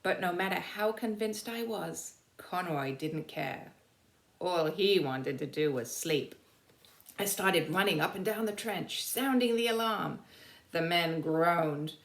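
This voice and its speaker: A woman narrating a children's storybook aloud with dramatic, deliberate emphasis. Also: on the low side, animated, gravelly, echoey room. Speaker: teacher reading aloud.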